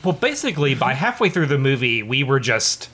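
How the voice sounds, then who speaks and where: A man in a casual film-discussion chat, throwing in quick, giggly quips. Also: medium pitch, very animated, bright, forceful tone, slight background noise.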